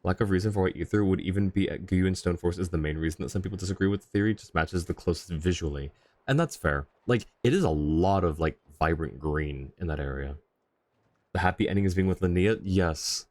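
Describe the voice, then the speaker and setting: Low-voiced man livestreaming a game. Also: brisk, a little mellow, no echo. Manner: reactive, chatty, replying to chat. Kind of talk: casual livestream commentary. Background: faint game music.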